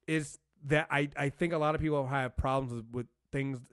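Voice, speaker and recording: A man's voice: medium pitch, steady tone, normal speed, a bit bright, clean tone, no echo, studio quality. A man hosting a podcast, chatting casually and giving opinions emphatically, often circling back to repeat his phrases. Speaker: podcast host reviewing a TV show.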